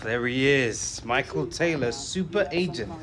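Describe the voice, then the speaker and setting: A man playing a brash, excitable sports agent in a game cutscene. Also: medium pitch, a little slow, heavy background noise, close to an everyday voice.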